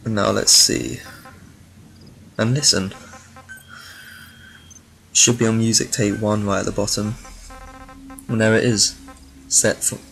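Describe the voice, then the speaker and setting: A man's voice: on the low side, little pitch movement, takes it slowly, long pauses, fairly bright tone, faint music behind. A man giving a casual walkthrough commentary over video game footage. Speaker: video game guide maker. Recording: clear background noise, game ambience.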